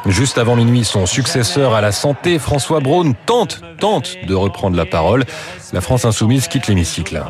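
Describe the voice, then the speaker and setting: A man reporter reading a scripted news report in a measured way. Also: medium pitch, very animated, over music. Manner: crisp, measured voice-over.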